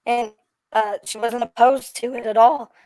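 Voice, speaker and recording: A hoarse woman speaking on a live stream panel, earnest and repeating herself as she finds her words. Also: on the high side, steady tone, normal speed, warm tone, no echo, low-quality recording.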